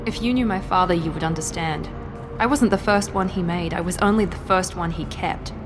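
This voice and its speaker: A woman's voice: fairly low pitch, fairly quick, lightly acted. A woman playing a poised, guarded operative in a video game, serious and controlled, with clipped delivery. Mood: confiding, pained. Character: poised, guarded woman operative protecting her younger sister. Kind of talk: video game dramatic acting. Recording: game audio with music behind.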